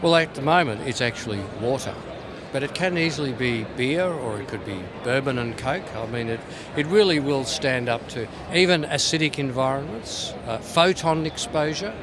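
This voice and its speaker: A man's voice: mid-range voice, lively intonation, moderate pace. Man, playful tongue-in-cheek delivery, informal product demo, noticeable ambient noise behind. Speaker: loudspeaker company representative. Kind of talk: informal product demonstration interview. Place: busy trade show.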